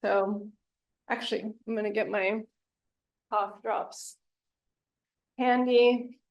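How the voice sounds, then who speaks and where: A woman giving an academic lecture, a little hoarse from bronchitis, thoughtful and pausing often with ums. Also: mid-range voice, steady tone, dull audio. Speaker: guest lecturer. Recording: echoey lecture room.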